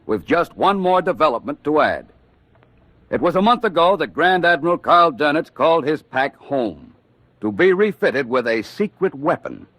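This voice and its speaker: A grave wartime radio narrator, pitched a little high, in a dry studio. He speaks formally and with measured weight, in the portentous manner of 1940s radio drama. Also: fairly bright tone, dry recording.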